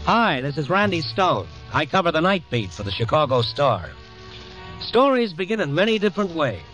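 Old-time radio: American night reporter, hard-boiled, dramatic storyteller, music behind. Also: male voice, on the high side, very animated, normal speed, a bit bright, poor audio. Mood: brooding narration. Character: hard-boiled, sympathetic male night-beat newspaper reporter. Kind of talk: vintage radio drama narration. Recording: vintage radio recording.

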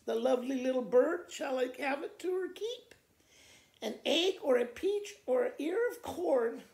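A man's voice: very high-pitched, expressive, measured pace, vocal fry, a little mellow, roomy sound, pitched above the performer's own voice, exaggerated voice. A man voicing a flustered, fretful farmer from a children's storybook poem, exclaiming and repeating himself.

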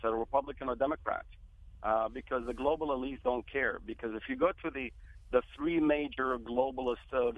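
A man from Iraq, a guest on a political talk radio show, speaking earnestly with many fillers and repeated words. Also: mid-range voice. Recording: tinny phone line, dry.

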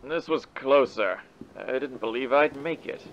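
A man's voice: mid-range voice, unhurried, slight background noise. An actor playing a proud, theatrical male demon with formal, elaborate diction and an old radio filter in a comic dub. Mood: dry, queasy. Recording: muffled band-limited sound, music behind. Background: music.

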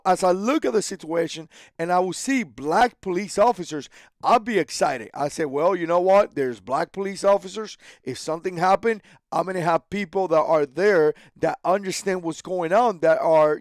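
A man's voice: fairly high pitch, no room sound, studio quality. A man in his thirties, born in Cuba, hosting his own channel and speaking into a headset mic with indignant, emphatic commentary full of repeated phrases and restarts. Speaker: channel host. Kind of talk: opinion commentary to camera. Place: small home studio room.

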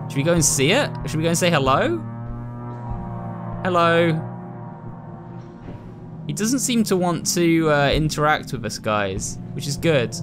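A man's voice: on the high side, lively intonation, unhurried, pauses often. A British man giving chatty, casual commentary while playing a horror game, addressing his viewers as host. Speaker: gaming video host. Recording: voice over game music. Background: ominous game music.

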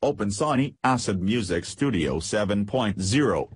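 A man reading a scripted step-by-step software tutorial in a measured, instructional manner. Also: fairly low pitch, lively intonation, husky, no room sound, clean studio sound. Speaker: tutorial narrator.

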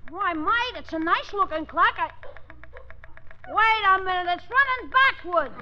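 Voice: very high voice, expressive, a little slow, over music. An actor playing a brash, sarcastic, slangy delivery boy in a 1950s radio comedy. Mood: sarcastic, cocky. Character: wisecracking brash grocery delivery boy, sharp and skeptical. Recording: dull, noisy old radio recording.

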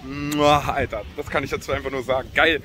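A man, chatting casually and excitably in slang to a phone camera as a vlogger. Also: mid-range voice, fairly quick. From Berlin, Germany. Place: outdoors on holiday, by beach, pool and roads. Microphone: phone at arm's length. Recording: noisy outdoor phone recording.

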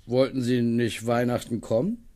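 A man's voice: mid-range voice, level tone, normal speed, warm tone, lightly acted. An actor in a radio play playing a kindly, grumbling elderly man who talks matter-of-factly.

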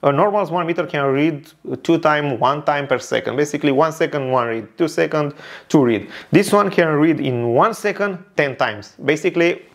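A man's voice: fairly high pitch, moderate pace. A man in his thirties from Romania, with a Romanian accent and Romanian as his first language, reviewing a tech product in careful English with fillers and repeats. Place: indoor studio with neon lights and shelves.

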